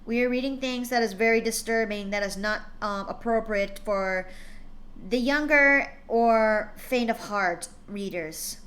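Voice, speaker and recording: Bright, chatty woman streamer. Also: fairly high pitch, measured pace. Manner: chatty, many fillers and restarts. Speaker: stream host reading fan fiction. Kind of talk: casual live-stream chat.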